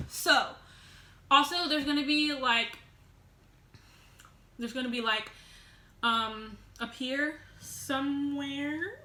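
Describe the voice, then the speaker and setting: Bright, loud teenage girl rambling. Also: fairly high pitch, roomy sound. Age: teens. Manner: loud, rambling, giggly, tangents and restarts. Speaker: vlogger. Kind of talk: casual storytime vlog. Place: at home, sitting on the floor.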